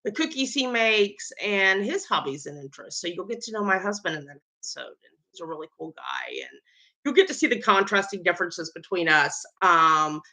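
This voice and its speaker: A woman's voice: fairly low pitch, very animated, a little fast, sharp tone. A tired woman with a Southern American accent, chatting casually to camera on her baking vlog, rambling with lots of ums. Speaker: home baker and vlogger.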